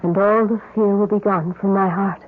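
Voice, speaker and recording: A husky woman's voice on tinny old radio, an actress as a doting middle-aged wife. She is genteel and solicitous, with a dreamy, fretful softness in the manner of radio melodrama. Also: medium pitch, steady tone, moderate pace, natural voice. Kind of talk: old-time radio drama acting. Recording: tinny vintage radio broadcast.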